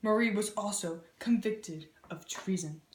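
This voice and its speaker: A woman's voice: mid-range voice, a little slow, background noise. A young woman, a student presenter, narrating history from a script as part of a two-person skit. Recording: roomy, echoey room sound.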